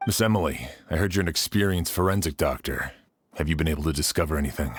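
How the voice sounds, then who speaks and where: A courteous, earnest officer at a crime scene. His voice is very low and steady. It is a video game performance. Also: fast talker, husky. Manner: earnest and polite.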